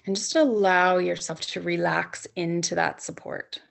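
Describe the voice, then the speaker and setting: Female meditation guide for bedtime yoga nidra: lowish pitch, very strong vocal fry, soothing and unhurried, with long pauses. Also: fairly even intonation. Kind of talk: guided sleep meditation, softly spoken instruction.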